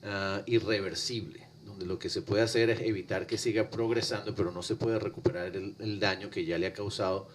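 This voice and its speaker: Venezuelan-born man, low calm voice. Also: a little hiss or hum. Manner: calm, measured medical explanation. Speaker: guest cardiologist. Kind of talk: explanatory interview answers.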